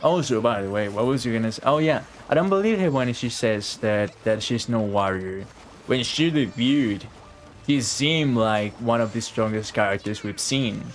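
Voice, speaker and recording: A man's voice: medium pitch, animated, normal speed, slight background noise. A man giving casual live-stream game commentary in slangy, chatty language with plenty of swearing. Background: game music.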